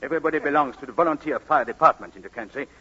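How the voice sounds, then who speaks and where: An actor playing a suave, evasive newlywed husband who stammers out smooth excuses in 1940s radio comedy style. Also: male voice, medium pitch, lively intonation, very fast, pushed and bright. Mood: flustered. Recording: muffled vintage radio broadcast.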